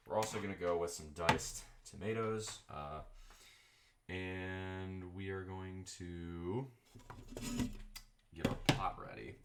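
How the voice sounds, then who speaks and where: A man's voice: sits low, very noisy. A man from Texas with a Southern accent, chatting casually and rambling as he cooks in his home kitchen. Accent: Southern American. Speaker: streamer cooking chili. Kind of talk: casual live-stream chat while cooking.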